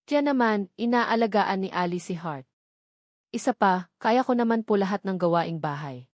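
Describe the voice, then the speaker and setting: A woman's voice: mid-range voice, lively intonation, moderate pace, dry recording, clean studio sound, close to an everyday voice. A woman voicing an anxious, devoted mother with an earnest, tender, pleading manner in a dramatized story. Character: anxious, devoted, self-sacrificing young mother. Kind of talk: dramatized novel narration.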